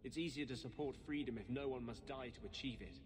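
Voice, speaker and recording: An actor playing an intense, secretive rebel mage in a video game, speaking in a grave, earnest, insistent way. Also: male voice, medium pitch, moderate pace, close to an everyday voice. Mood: insistent, fervent. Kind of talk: dramatic video game acting. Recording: game audio with clear background ambience.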